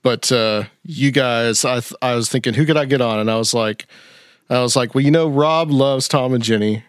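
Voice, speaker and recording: A man hosting a podcast, easygoing and enthusiastic in relaxed chat. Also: medium pitch, normal speed, clear, resonant tone, no echo, clean studio sound. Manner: easygoing and enthusiastic, fillers and restarts. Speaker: podcast host.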